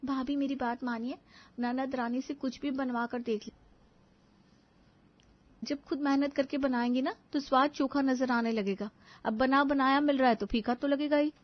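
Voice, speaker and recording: A woman voicing an exasperated, sharp-tongued young daughter-in-law in a cartoon family story, complaining emphatically and sarcastically. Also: high-pitched, fairly even intonation, moderate pace, lo-fi sound, lightly acted. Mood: sarcastic. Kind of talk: animated family story acting.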